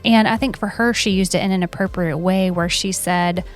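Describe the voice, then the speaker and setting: A woman giving measured, explanatory commentary as an expert guest. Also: lowish voice, fairly even intonation, soft background music. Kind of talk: reflective commentary.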